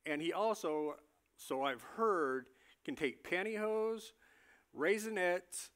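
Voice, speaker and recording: A man playing a chatty, jittery doctor in an amateur comic staged reading, speaking in a casual, halting, confiding way. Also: high-pitched, animated, fairly bright tone, full character voice. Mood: confiding, nervous. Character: chatty, jittery male doctor moonlighting as security supervisor.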